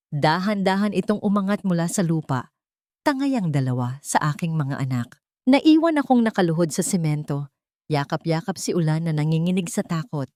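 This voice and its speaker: Female narrator for an audio drama: low register, steady and earnest, dry booth sound. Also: no echo. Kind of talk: first-person dramatic storytelling.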